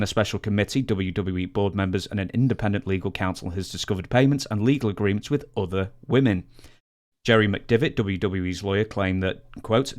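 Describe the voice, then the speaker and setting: A man hosting a podcast, talking casually and reading out news in a wry, wordy way with asides. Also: deep voice. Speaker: podcast host.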